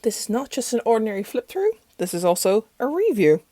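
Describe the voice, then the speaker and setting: A young Irish woman talks through a review in a loose, rambling way, her voice croaky as she gets over being sick. Her voice sits a little high for a woman, and the sound is close and dry with no room echo. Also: big pitch swings, fairly quick, dark tone. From Ireland. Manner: chatty and rambling, self-interrupting. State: croaky, recovering from illness. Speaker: tarot channel host. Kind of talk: casual deck review. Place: bedroom at home.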